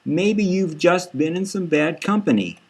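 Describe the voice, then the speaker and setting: Man playing guileful, coaxing female investigator, slow-paced. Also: high voice, breathy edge, voice pushed up. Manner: coaxing, soothing, leading questions. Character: guileful female crime fighter coaxing a suspect kindly. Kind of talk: dark satirical audio novel dialogue.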